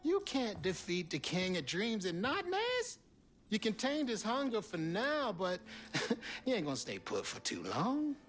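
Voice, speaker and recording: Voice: mid-range voice, wildly swooping pitch, a little slow, fairly bright tone, soft background music. An actor playing a sly, folksy trickster in a video game, insinuating and often dropping to a whisper. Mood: warning. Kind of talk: dramatic video game voice acting.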